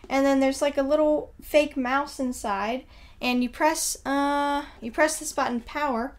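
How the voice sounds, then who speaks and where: A young woman co-hosting a casual product review at a home desk, her voice a little raspy from just waking up, chatty with many fillers. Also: steady tone, a little slow. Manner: chatty, mock infomercial pitch, many fillers. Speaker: co-host.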